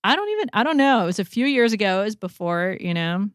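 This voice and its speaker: A woman's voice: medium pitch, very animated, fast talker, clear, resonant tone, dry recording, studio quality. A woman hosting a podcast in a casual, conversational style. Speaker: podcast host. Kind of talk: casual chat.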